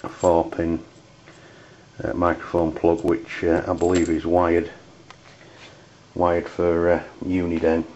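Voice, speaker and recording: Deep-voiced English man, halting delivery. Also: completely flat delivery, darkish tone, dull audio, slight background noise. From United Kingdom. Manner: halting, hesitant phrasing. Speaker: CB radio enthusiast reviewing gear. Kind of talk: hobbyist product demonstration.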